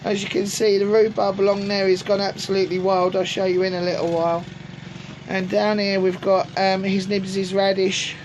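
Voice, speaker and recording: A woman with a gruff voice from a sore throat, chatting casually and rambling with many ums as she shows round her greenhouse on camera. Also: medium pitch, monotone, normal speed. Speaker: allotment vlogger. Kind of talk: casual walk-through chat. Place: greenhouse on an allotment, outdoors. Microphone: camera on a tripod. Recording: outdoor noise, lawnmowers and traffic behind.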